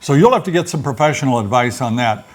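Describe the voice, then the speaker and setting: An older man co-hosting a casual chat show from home, folksy and chatty, explaining things at an easy, unhurried pace. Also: mid-range voice, animated. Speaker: co-host of a retiree channel.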